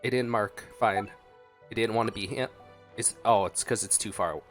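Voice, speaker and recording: A man's voice: on the low side, steady tone, moderate pace, a bit bright. A man giving casual, jokey reaction commentary as a gaming streamer. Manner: jokey, playful. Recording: game music behind the voice.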